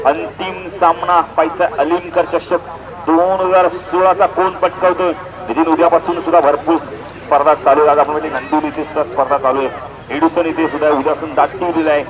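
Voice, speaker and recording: A man's voice: fairly high pitch, level tone, fairly quick, low-quality recording. A man giving live commentary and announcements at a local outdoor cricket tournament. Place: outdoor village cricket ground. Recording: outdoor event sound, crowd behind.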